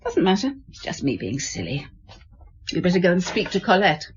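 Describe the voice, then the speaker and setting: Radio drama wife: middle-aged, wistful, self-effacing, very varied intonation, dullish sound. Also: female voice, fairly low pitch, wildly swooping pitch, fairly quick, breathy edge, dull audio, heavily acted. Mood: wistful, hesitant. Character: tender, wistful, hesitant housewife, quietly longing.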